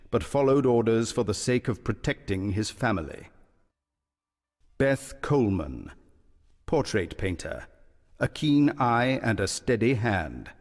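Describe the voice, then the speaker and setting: A grim video game announcer who briefs the player on character dossiers and missions. His voice sits a little low, and he takes each line at an unhurried, heavy pace. The tone stays grave and ominous throughout. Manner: slow, grave, ominous delivery. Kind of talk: scripted game narration.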